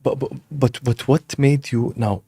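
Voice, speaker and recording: A man's voice: mid-range voice, measured pace, warm tone, breathy edge, no room sound. Man hosting a podcast interview. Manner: brief encouraging interjections, follow-up questions. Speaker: podcast host. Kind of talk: interviewing, casual.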